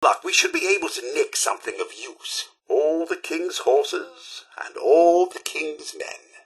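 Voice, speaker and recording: Genteel British robot butler, fussy and wistful game companion, high-set voice with a husky edge. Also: male voice, animated, unhurried, full character voice. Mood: curious, chipper. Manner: genteel, theatrical, old-fashioned politeness. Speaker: companion character in a video game. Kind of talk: scripted game voice lines.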